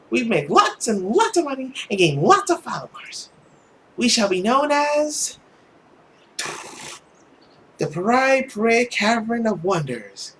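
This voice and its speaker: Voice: mid-range voice, fairly bright tone, slight background noise, voice pushed up. A narrator voicing an exuberant, dreamy young lady in a visual novel, grandiose and playful.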